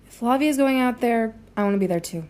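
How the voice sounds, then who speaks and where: A woman's voice: a little high, lively intonation, fast talker, a little mellow, natural voice. Feisty young woman reporter acted out by a woman. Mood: stubborn. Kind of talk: audio drama acting.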